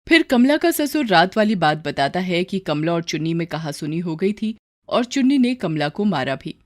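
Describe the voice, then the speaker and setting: A woman's voice: on the low side, sing-song, normal speed, dry recording, studio quality. A woman storyteller giving scripted narration of a story.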